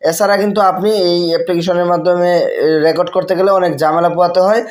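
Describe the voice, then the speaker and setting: A man's voice: high-pitched, steady tone, rapid-fire. A man with a Bangladeshi accent hosting a casual tech tutorial, repeating phrases and mixing in English words. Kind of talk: casual spoken screen-recording tutorial.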